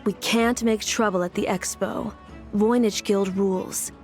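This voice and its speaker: A woman's voice: medium pitch, fairly even intonation, a little slow, darkish tone, faint music behind, close to an everyday voice. A woman voicing a weary, tough female gang enforcer in a video game, with a dry, world-weary manner. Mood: dry, warning.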